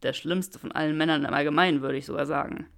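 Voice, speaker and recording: A woman's voice: low voice, steady tone, fast, creaky, voice pushed down. A woman voicing an awkward young male wizard who speaks earnestly and stammers through a tender confession. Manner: stammering, earnest, self-mocking.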